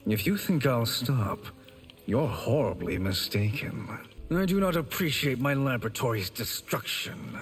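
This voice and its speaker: An actor playing a cruel, self-serving sorcerer in fighting-game dialogue, suave, mocking and formal. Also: male voice, mid-range voice, lively intonation, unhurried, soft background music, natural voice. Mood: smug menace.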